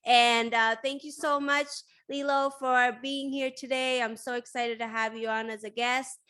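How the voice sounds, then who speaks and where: Bright-voiced, bubbly woman host. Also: a little high, flat delivery, a little slow, clear, resonant tone. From Sweden. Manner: bubbly, lots of "like" fillers. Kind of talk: casual interview.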